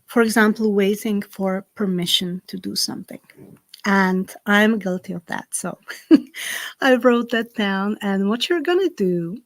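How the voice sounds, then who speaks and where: A woman with a Slovak accent, a native Slovak speaker, hosting a live-streamed ritual from home and giving slow, gentle instructions full of fillers. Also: medium pitch, no echo. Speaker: spiritual ritual host. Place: home in the south of France. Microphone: computer on a live stream.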